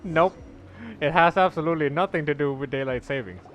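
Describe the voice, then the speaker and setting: A man's voice: fairly high pitch, brisk. A man livestreaming a game, chatting casually and reacting with jokes, slang and mild swearing. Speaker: game streamer. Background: soft background music.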